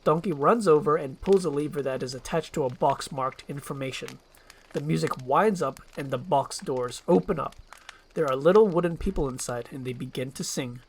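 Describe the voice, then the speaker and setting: A woman's voice: low-pitched, normal speed, darkish tone, slight background noise. A woman reading scripted narration and stage directions for a storybook roleplay.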